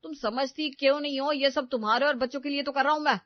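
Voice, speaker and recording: A man's voice: extremely high pitch, steady tone, fast talker, dry recording, lo-fi sound. An actor playing a stingy, lecturing young father in an acted family story, brushing off every request. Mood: irritated. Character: stingy, dismissive young father obsessed with his new house. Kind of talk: acted Hindi audio story dialogue.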